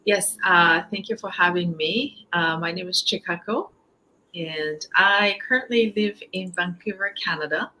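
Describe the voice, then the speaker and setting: Low bright-toned Japanese-accented woman. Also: low-quality recording. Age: 50s. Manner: halting, frequent fillers and restarts. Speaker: guest. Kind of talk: casual interview chat.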